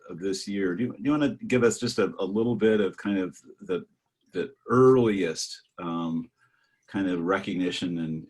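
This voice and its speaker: Low husky older man moderating. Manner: halting, many filler sounds and restarts. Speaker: host, physician moderating a webinar. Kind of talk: conversational webinar moderation.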